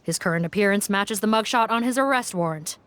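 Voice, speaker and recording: A woman's voice: mid-range voice, quick pace, a bit bright, no echo, close to an everyday voice. An actress playing a composed female officer who speaks in a formal, direct, businesslike way. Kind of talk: video game voice acting.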